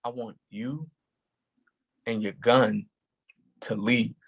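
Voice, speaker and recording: A man's voice: medium pitch, steady tone, slow pace, vocal fry, a bit bright, slightly rough, full character voice. An actor playing an anguished, furious police officer who is grieving his cousin, in a staged play reading with an intense, impassioned delivery. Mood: cold.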